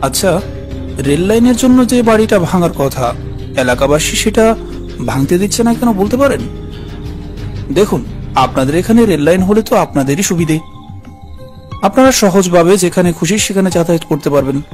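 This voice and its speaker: Polite city gentleman male actor, fairly high voice, dramatic horror-story delivery over clear background music. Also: expressive, moderate pace, pauses often. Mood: polite, persuasive. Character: polite, inquisitive city man narrating his horror tale. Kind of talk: scripted horror narration and dialogue. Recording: loud music under the voice.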